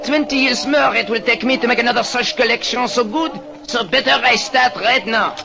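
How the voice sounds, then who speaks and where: An actor playing a vain, florid little artist with a French accent in an old radio drama. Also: male voice, very high voice, normal speed, creaky, over music. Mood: resigned. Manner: florid, formal, self-important. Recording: noisy vintage radio recording.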